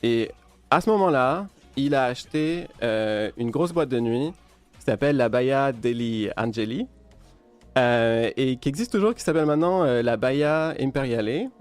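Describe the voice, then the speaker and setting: A man's voice: medium pitch, expressive, moderate pace, darkish tone, faint music behind. A man with a French accent, a DJ guest on a podcast, explaining in a hesitant way with many fillers. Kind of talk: casual interview, explaining music history.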